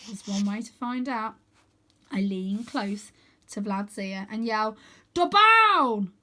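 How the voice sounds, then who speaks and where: A woman reading a children's adventure book aloud as storyteller, with expressive, dramatic emphasis. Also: medium pitch, slow pace.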